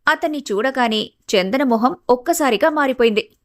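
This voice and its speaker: A woman's voice: sits high, lively intonation, moderate pace, dry recording, studio quality. A woman giving scripted narration of a family story.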